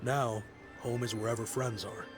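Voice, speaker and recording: An actor playing a proud yet kindly merchant in a video game, speaking in a friendly, reflective, softly rueful way. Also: male voice, medium pitch, little pitch movement, moderate pace, lightly acted. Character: nostalgic grown-up male merchant, proud but kindly. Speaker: businessman. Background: game music.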